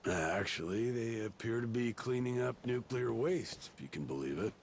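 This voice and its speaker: A man playing a gruff, suspicious middle-aged barkeep in a video game, with a sardonic, grudging manner. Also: medium pitch, lively intonation, moderate pace, slight background noise, close to an everyday voice. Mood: wry, explanatory. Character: gruff, suspicious barkeep father, former treasure hunter. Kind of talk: English video game voice acting.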